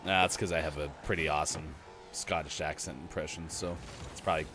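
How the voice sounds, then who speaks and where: A man's voice: extremely low pitch, fairly even intonation, quick pace, over music. A man co-hosting a morning show, bantering casually in a joking, rambling, mock-serious manner.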